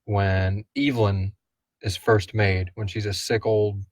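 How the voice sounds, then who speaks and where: A man hosting a casual question and answer show, talking like an animated storyteller. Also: on the low side, takes it slowly, no echo. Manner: animated storyteller, acts out quotes, swears casually. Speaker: host of an audio drama Q&A show. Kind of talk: casual fan Q&A chat.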